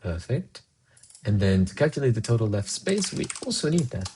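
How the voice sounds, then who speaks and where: Man, Spanish speaker, casual live coding, thinking out loud, faint keyboard typing behind. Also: fairly low pitch, big pitch swings, normal speed, a little hiss or hum. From Colombia. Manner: muses aloud, answers chat between tasks. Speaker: programmer streaming live coding.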